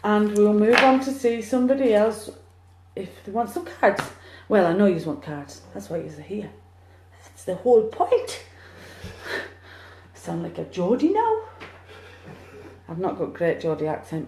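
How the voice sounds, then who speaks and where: A woman's voice: medium pitch, unhurried, pauses often, slight background noise. Woman medium on a phone livestream, bubbly and chatty, echoey room. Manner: chatty, excitable, cheering on share counts. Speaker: psychic medium. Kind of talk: live-streamed card reading.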